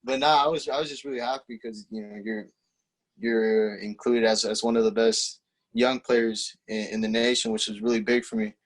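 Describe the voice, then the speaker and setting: A teenage man, a young footballer whose first language is Spanish, answering interview questions in a relaxed, halting way with many fillers and restarts. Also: medium pitch, rough recording. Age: teens. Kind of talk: casual interview.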